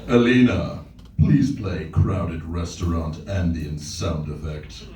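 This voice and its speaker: In an echoey room, an actor plays an anxious, lonely man who talks slowly and hesitantly. His pitch swings around as he sighs his way through awkward comedy lines at a table read. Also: animated, a little mellow. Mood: lonely, hopeful. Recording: echoey room, distant mic, faint noise.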